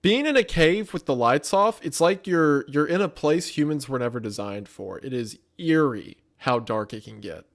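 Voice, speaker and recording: A man with a somewhat higher-pitched voice talks casually, as a content creator giving commentary. His intonation moves up and down a great deal, and he leans into key words like an expressive storyteller. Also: moderate pace, few pauses. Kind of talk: casual chat, reaction commentary.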